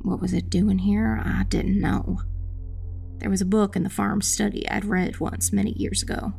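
A woman playing a determined witch who narrates her own dark fantasy audiobook tale in a measured, dramatic, husky and creaky voice. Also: medium pitch, level tone. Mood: tense narrating. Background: music.

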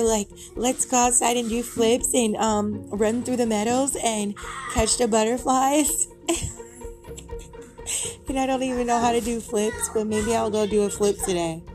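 A young woman with a raspy voice, talking at home in a rambling, giggly, upbeat way about positivity, with music behind her. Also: on the high side. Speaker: vlogger. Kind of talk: casual motivational talk to camera. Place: home with kids playing.

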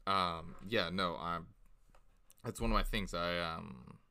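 A man in his thirties hosts a casual podcast, talking loosely and filling his sentences with little asides. His voice sits low but has a bright, forward tone. He laughs easily between points. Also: gravelly. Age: 30s. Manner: chatty, filler-heavy, quick to laugh. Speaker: podcast host.

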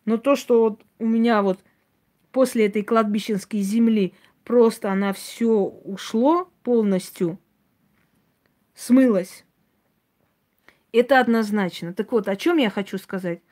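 A woman's voice: a little high, unhurried, pauses often, mellow. A woman giving an informal talk and answering listeners as she goes, in a blunt, opinionated, conversational manner. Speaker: host, self-described witch. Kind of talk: informal talk to live audience, answering comments.

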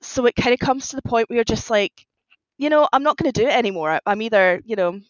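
A woman's voice: fairly high pitch, lively intonation, fast, dry recording. A woman talking casually as a guest writer on a podcast, with slightly dull, lo-fi sound. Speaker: guest author on a fan fiction podcast.

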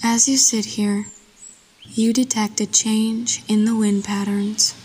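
A woman narrating a guided meditation in a soft, breathy voice, with a soothing, gentle and unhurried manner. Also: medium pitch, flat delivery. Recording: long washy reverb.

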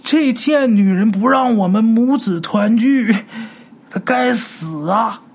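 A man voicing a doting, vicious ghost of an old mother with a high, drawn-out, wailing voice. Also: slightly rough, full character voice. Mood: hateful.

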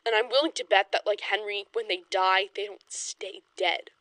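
A woman's voice: mid-range voice, fairly even intonation, normal speed, a bit bright, no room sound, natural voice. An actress playing a blunt, defiant woman researcher of the 1920s in an audio horror drama, speaking emphatically and urgently.

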